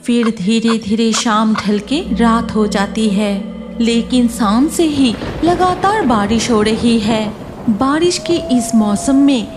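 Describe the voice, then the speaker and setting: A woman's voice: on the high side, slow. A woman narrating a scripted horror story as the storyteller. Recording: music behind the voice.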